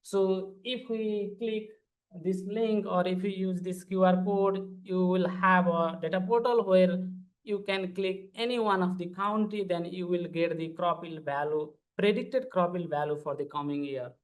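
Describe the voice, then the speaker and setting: A man's voice: high voice, fairly even intonation, measured pace, low-quality recording. A man, giving an earnest, formal conference panel presentation as a PhD student. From Nepal. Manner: earnest, formal, walks through slides step by step.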